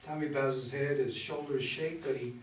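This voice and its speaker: A man's voice: mid-range voice, steady tone, moderate pace. Man reading stage directions, roomy sound. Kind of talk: scripted narration at a play reading. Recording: roomy, distant mic, faint noise.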